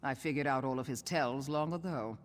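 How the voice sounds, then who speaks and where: An actress playing a shrewd veteran thief in a video game, dry, brisk and confident. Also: female voice, rumbling low. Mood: confident, urgent. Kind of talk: scripted video game dialogue.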